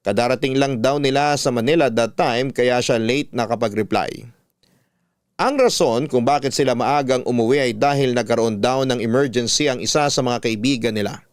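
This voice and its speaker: A man's voice: mid-range voice, normal speed, clean tone, dry recording. Casting: male radio-style storyteller, mid-range voice, scripted first-person romance narration, very dry recording. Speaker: host reading listener love stories.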